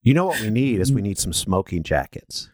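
A man's voice: lowish voice, sing-song, a little fast, darkish tone, dry recording, studio quality. A man co-hosting a podcast in casual, slangy banter. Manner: informal, slangy. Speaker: podcast co-host.

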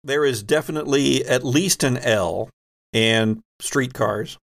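Creaky-voiced male podcast host. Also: medium pitch, slow, fairly bright tone. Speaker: podcast host and game master. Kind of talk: hosting casual tabletop game chat.

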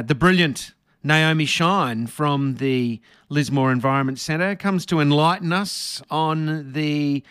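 A man's voice: fairly high pitch, fairly bright tone, no room sound, clean studio sound. An Australian man hosting a community radio show, joking and breaking in with playful asides. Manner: jokey, quick to interrupt with playful asides.

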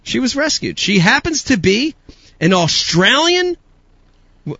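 A man hosts a loose podcast chat with a bright, gravelly voice that swoops up and down. The sound is dry and a bit dull, as if close to a modest mic. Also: very high voice, big pitch swings, measured pace, dry recording. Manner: playful, hyped-up announcer bits. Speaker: host.

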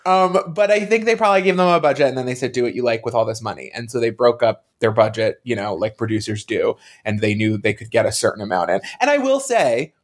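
A man with a lively, swooping delivery chats as a podcast panelist. He reasons his way through opinions in an analytical, hedging, conversational manner. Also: fairly high pitch. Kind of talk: casual review chat.

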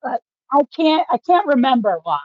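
A woman's voice: high voice, sing-song, moderate pace, no room sound. A woman co-hosting a podcast in a casual book discussion, explaining things like an enthusiastic fan. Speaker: podcast co-host. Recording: slightly dull, dry recording.

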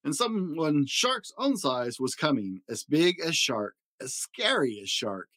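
A man narrating a children's picture book in an expressive, dramatic storytelling manner. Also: fairly high pitch, a little slow, no echo. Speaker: story reader.

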